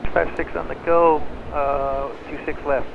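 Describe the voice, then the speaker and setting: A man's voice: fairly high pitch, little pitch movement, a little slow, creaky, narrow, tinny audio. A man speaking as a pilot, giving readbacks over aviation radio. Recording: very noisy cockpit radio transmission. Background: loud aircraft engine noise.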